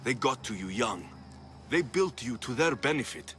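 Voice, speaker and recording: A man's voice: mid-range voice, lively intonation, normal speed, sharp tone. A man playing an earnest, determined Greek mercenary in a dramatic video game, speaking with impassioned conviction. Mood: earnest, persuading. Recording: dramatic music behind.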